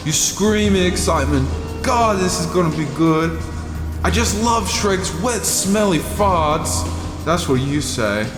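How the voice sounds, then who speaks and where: A man delivering a mock-serious comic monologue, aggrieved and melodramatic, with deliberate pauses. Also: high voice, animated. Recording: echoey, long reverb, music underneath.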